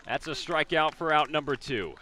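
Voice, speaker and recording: A man with an American accent gives live radio play-by-play of a baseball game. His voice sits a bit high and has a bright, forceful edge. He describes the action pitch by pitch, filling in detail on the players and the field. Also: animated, normal speed, a little hiss or hum.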